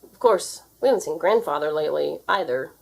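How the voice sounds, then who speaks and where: A woman's voice: low-pitched, expressive, a little fast, creaky, full character voice. A woman voicing a mild adult father in a storybook, with an explanatory manner.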